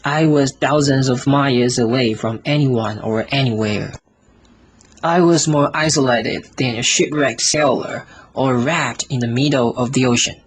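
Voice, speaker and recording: A man reads a storybook as scripted audiobook narration, in short, careful, deliberate phrases. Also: mid-range voice, a little hiss or hum. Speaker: audiobook reader.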